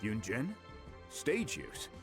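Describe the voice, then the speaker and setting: An actor playing a friendly, humble blacksmith who is slightly flustered and apologetic, in a video game style. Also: male voice, on the low side, huge pitch swings, drawn-out words, music in the background, full character voice. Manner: hesitant, apologetic, self-deprecating, chuckly.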